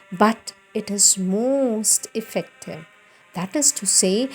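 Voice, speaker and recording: A woman reading scripted spiritual teachings in a devotional style, measured and deliberate, pausing between phrases. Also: a little high, low-quality recording. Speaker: reader of spiritual texts.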